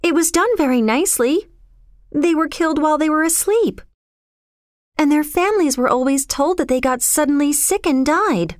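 A woman's voice: high voice, expressive, moderate pace, no echo, clean studio sound, voice pushed up. A woman storyteller voicing a spoiled rich young girl, emotional and blithely callous, in a dramatic, pleading manner. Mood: blithe, matter-of-fact.

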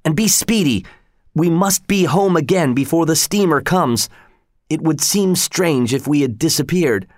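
A man voicing an old Hawaiian wizard, grave and commanding, with a solemn, deliberate delivery. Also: a little high, lively intonation, dry recording, clean studio sound, voice pushed up. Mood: urgent.

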